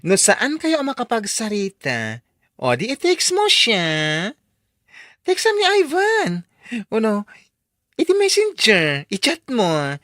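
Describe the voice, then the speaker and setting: A woman's voice: fairly high pitch, wildly swooping pitch, slow, a bit bright, no echo, studio quality, heavily acted. A woman playing a pushy, advising friend of a young wife in an audio drama, with an Ilocano accent. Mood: insistent.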